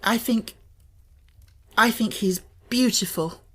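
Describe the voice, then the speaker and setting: A man's voice: high-pitched, slow pace, a bit bright, slightly rough, voice pushed up, exaggerated voice. A man voicing a stubborn, eager little girl in an audiobook, speaking with earnest determination. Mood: excited, determined.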